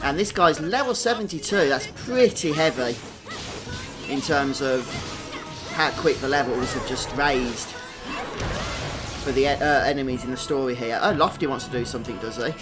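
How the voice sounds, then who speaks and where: A man's voice: medium pitch, normal speed, pauses often. A man giving chatty live commentary on a video game, thinking aloud and often tagging questions onto his remarks. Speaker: game streamer. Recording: game music behind the voice. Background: video game music.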